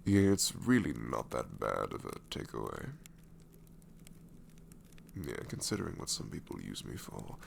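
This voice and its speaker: A man voicing a gravelly sassy shadow demon. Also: extremely low pitch, sing-song, a little slow, pauses often, slight background noise, heavily acted. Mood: wry. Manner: sarcastic, drawling, drops into whispers. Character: sassy married male shadow demon, grumbling but soft. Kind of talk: ASMR roleplay audio.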